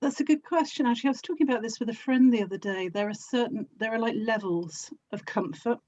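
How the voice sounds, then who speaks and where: A woman's voice: on the high side, quick pace, a little mellow, dull audio. A woman from South London with a South London accent, chatting casually as an interview guest. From South London, England. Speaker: interview guest, audio drama actor and producer.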